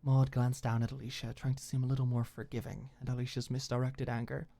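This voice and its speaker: A woman's voice: rumbling low, little pitch movement, fast talker, vocal fry, dark tone. A woman reading scripted fiction as an audiobook narrator, in an intimate storytelling style.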